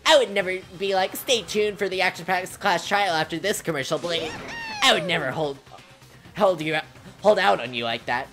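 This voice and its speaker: A woman's voice: lowish voice, normal speed, a bit bright, soft background music, voice pushed up, natural voice. A woman voicing a gleeful, taunting male bear mascot in a video game, in a sing-song, mock-cute and menacing manner. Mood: mock-hurt, bouncy. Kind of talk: voicing game dialogue on a stream.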